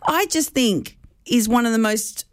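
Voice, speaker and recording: A woman hosting a casual radio chat, rambling warmly and leaping up in pitch when quoting her own reactions. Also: a little slow. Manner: animated, rambling, pitch leaps on quoted reactions. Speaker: radio host.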